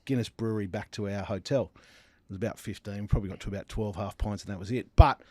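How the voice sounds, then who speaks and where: A man's voice: fairly low pitch, fast talker, darkish tone, no echo. A man with an Australian accent hosting a casual sports panel chat. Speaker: podcast host.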